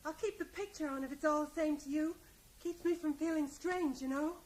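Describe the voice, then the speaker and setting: High-voiced American widow, played by an actress. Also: female voice, steady tone, fairly quick, darkish tone, natural voice, poor audio. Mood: shaken, wistful. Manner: rambling, ends remarks with tag questions. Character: plain-spoken small-town widow, resigned and insecure. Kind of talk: radio drama dialogue. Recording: faint noise, 1980s radio play.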